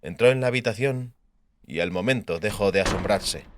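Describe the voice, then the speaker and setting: A man's voice: fairly low pitch, normal speed, background noise. A man reading scripted narration of a fantasy novel as an audiobook narrator.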